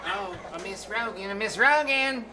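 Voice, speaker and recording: A man playing a timid, fussy bachelor gentleman in an old-time radio comedy, stammering and awkwardly polite. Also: sits high, normal speed, lightly acted. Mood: startled. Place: small theater stage, live performance. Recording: noisy live stage recording.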